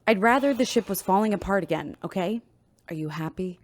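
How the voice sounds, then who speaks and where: An actress playing a grudging, exasperated chief engineer in an audio drama, curt and irritated. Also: female voice, mid-range voice, expressive, brisk, no echo, clean studio sound, lightly acted. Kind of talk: audio drama acting as an interviewee.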